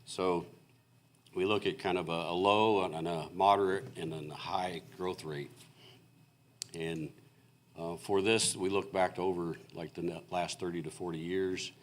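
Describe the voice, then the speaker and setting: Very low-voiced man, voice going hoarse. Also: steady tone. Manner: halting and explanatory, many fillers and restarts. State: losing his voice. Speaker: consulting engineer presenting to a city board. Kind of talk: technical presentation at a public meeting. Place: city council meeting room.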